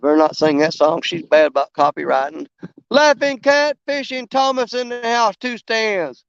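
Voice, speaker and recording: A man's voice: sits high, lively intonation, moderate pace, gravelly, smooth, no echo, highs cut off. A man, losing his voice and coughing, chatting on a live stream while fishing by a river, rambling and poking fun at himself. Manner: rambling, self-mocking, drawling. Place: outdoors by a river.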